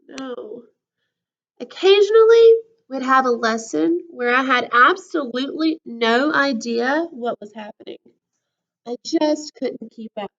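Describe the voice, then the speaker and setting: A woman school counselor reading a picture book to children in an expressive, casual storytime style. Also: high-pitched, highs cut off. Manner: animated, acts out the character lines. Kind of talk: expressive children's read-aloud with casual asides.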